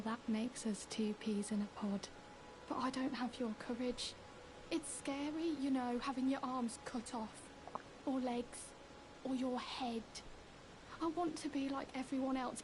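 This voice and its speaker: An actress playing a timid, mournful young woman in a video game, with a halting, wistful, hushed delivery. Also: on the high side, noisy background. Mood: wistful, curious, then fearful, ashamed. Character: timid, mournful young woman, self-confessed craven, darkly whimsical. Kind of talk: video game character dialogue.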